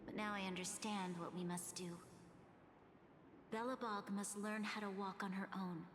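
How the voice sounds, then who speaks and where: A dignified, earnest young stateswoman from a game, played by an actress. Her medium-pitched voice is measured and solemn, trailing a long reverb. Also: fairly even intonation, noisy background, lightly acted. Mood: solemn resolve. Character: earnest, dignified young female leader of a struggling nation. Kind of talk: scripted video game voice acting.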